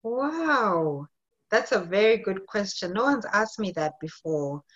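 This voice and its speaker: A woman's voice: medium pitch, lively intonation, slow, dull audio. A woman in her late thirties from Zimbabwe, a fitness coach answering interview questions in a friendly, thoughtful way with some hesitation. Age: 30s.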